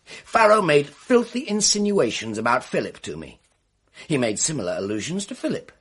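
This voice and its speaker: A theatrical, embittered man in a radio mystery. His voice is husky and swings widely in pitch, with florid, carefully turned phrasing full of spite. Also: big pitch swings, normal speed, fairly bright tone, heavily acted. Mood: wounded, bitter. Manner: florid, precise, melodramatic delivery. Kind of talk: radio mystery drama acting.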